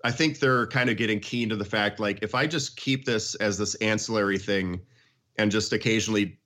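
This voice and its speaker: A man's voice: lowish voice, steady tone, brisk, bright, forceful tone. A middle-aged man chatting casually about music in an enthusiastic, emphatic way.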